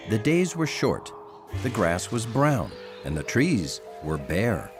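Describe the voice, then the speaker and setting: A man playing a wry, gentle storyteller, narrating a children's cartoon in a measured way. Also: on the low side, wildly swooping pitch, music in the background, exaggerated voice.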